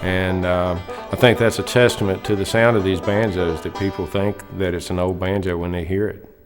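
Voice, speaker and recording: A man's voice: low-pitched, flat delivery, moderate pace, big-room sound, over music. A man in his thirties, a banjo player speaking earnestly in an interview with a few fillers. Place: studio with dark backdrop.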